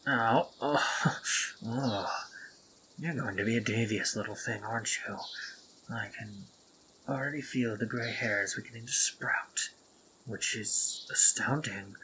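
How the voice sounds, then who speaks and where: A man voicing a sardonic but tender spider-monster man, speaking in a soft, breathy half-whisper in an intimate audio roleplay. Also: mid-range voice, a little hiss or hum, rough recording. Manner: gentle, dry and teasing, intimate.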